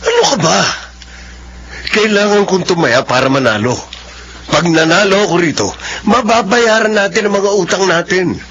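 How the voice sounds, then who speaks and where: A man's voice: high-pitched, lively intonation, normal speed, fairly bright tone, slightly rough, heavily acted. A man playing a defiant middle-aged gambler husband in a Filipino radio drama, with a Filipino accent and an insistent, defensive delivery. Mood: defensive, frustrated. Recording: dull lo-fi radio sound, music behind.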